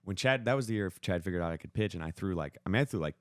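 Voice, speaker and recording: A man's voice: low-pitched, lively intonation, very fast, no room sound, studio quality. A young American man telling sports stories in a casual, slangy way, often quoting what others said. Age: young adult. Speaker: podcast co-host and former high school baseball player.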